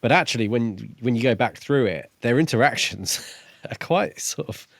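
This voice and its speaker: A man co-hosting a podcast, discussing books casually in a measured, reflective way. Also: mid-range voice, expressive, gravelly, no echo. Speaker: podcast co-host. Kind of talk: casual book discussion chat.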